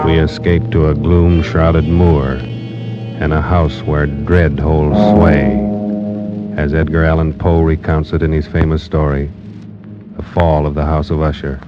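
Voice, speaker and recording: Grave, menacing mystery announcer, rock-bottom pitch, vintage radio style, muffled recording. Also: male voice, level tone, unhurried. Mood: ominous. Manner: ominous, portentous. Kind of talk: 1940s radio announcing, dramatic. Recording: muffled old radio, loud music behind.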